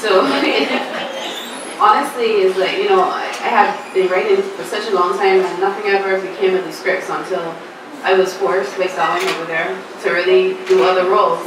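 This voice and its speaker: A woman's voice: mid-range voice, fairly even intonation, normal speed. Barbadian woman speaking in an echoey hall. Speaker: screenwriter and filmmaker on a panel. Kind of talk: panel discussion answers. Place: festival panel room. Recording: echoey room, far from mic, background noise.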